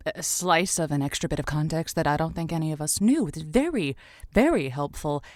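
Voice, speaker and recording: Low-voiced, theatrical radio matchmaker. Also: female voice, animated, fairly quick, a little mellow, no room sound, clean studio sound. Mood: awkward, tactful. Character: earnest, theatrical, easily wounded female radio matchmaker host. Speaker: radio show host.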